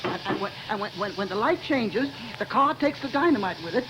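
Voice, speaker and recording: A man's voice: high-pitched, expressive, a little fast, lo-fi sound. A man playing an unhinged bomber who stammers, giggles and talks like a child, in old-time radio drama style. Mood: gloating. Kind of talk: 1930s radio drama acting. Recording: old radio recording with noise.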